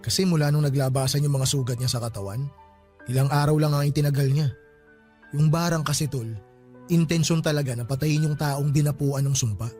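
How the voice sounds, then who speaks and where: A male actor plays a superstitious, grieving young Filipino man in a radio drama. His voice sits a little high and is softly mellow, with a colloquial, suspicious way of talking. Faint music plays underneath. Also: fairly even intonation, fairly quick, lightly acted.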